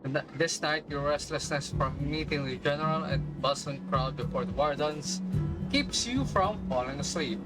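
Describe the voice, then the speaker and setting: A man's voice: on the high side, moderate pace, poor audio. A man doing casual game commentary as a streamer, muttering asides in reaction. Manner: hums nonsense tunes, muttering asides. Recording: game music behind the voice. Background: game music.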